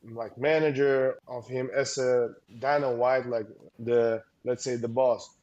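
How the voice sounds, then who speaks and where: A man, a combat sports coach, talking in a casual interview. He speaks haltingly, with many fillers and restarts, and gives earnest advice. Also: medium pitch, fairly even intonation, darkish tone, highs cut off.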